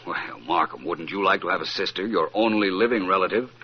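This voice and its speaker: A man playing a confident, witty gentleman detective in a 1940s-style radio mystery, assured and explanatory. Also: deep voice, a little fast, breathy edge. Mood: assured explaining. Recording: dull, muffled old radio recording.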